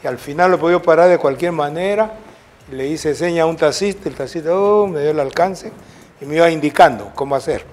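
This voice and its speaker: An older man with a Peruvian accent tells long, winding anecdotes as an interview guest. His voice sits somewhat high for a man, and he often tags his sentences with a questioning "right?". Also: brisk. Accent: Peruvian Spanish. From Peru. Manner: rambling anecdotal storytelling with tag questions. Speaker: former football coach, guest. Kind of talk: storytelling interview.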